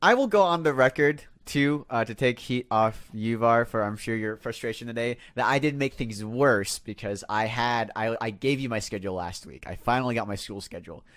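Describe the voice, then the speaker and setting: A man's voice: mid-range voice, normal speed, few pauses, a bit bright. A man in his twenties chatting casually as a podcast co-host, rambling and joking at his own expense. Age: 20s. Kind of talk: casual group chat.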